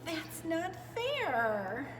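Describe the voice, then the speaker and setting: A woman's voice: extremely high pitch, very animated, extremely slow, music in the background, pitched above the performer's own voice, full character voice. A woman voicing a big, sulky male storybook rhino who mutters and whines in self-pity. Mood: sulky, indignant. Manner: muttering, whining, groping for words. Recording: echoey reverberant room, clear background noise.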